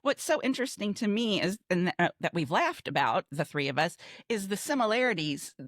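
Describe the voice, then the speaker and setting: A woman's voice: medium pitch, big pitch swings, fairly quick, no echo, clean studio sound. An older American woman from Texas, a podcast co-host reminiscing in casual chat with emphatic, conversational storytelling. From Texas, United States.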